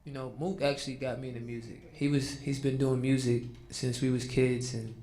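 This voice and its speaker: A man's voice: mid-range voice, little pitch movement, moderate pace, warm tone, a little hiss or hum. A man, a guest rapper, chatting easily in an interview. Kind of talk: casual interview chat.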